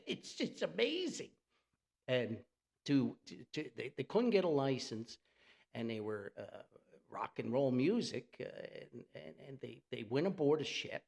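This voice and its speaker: Animated, gravelly man telling radio stories. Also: medium pitch. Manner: enthusiastic, folksy storytelling. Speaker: podcast host and radio hobbyist. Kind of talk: casual unscripted podcast monologue.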